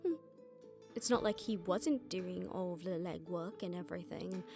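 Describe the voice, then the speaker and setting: A woman's voice: fairly low pitch, normal speed, vocal fry, over music. A woman playing a jaded, sardonic witch detective who sees visions of death, talking conversationally to a partner in a roleplay audio drama. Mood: scornful, sarcastic.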